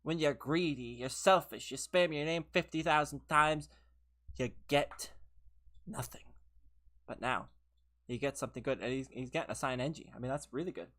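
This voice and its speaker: A woman's voice: low voice, normal speed, a bit bright. A woman streaming a game live from her own room, chatting with viewers, laughing often and teasing them, tired and losing her voice. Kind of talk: casual live gameplay commentary with chat.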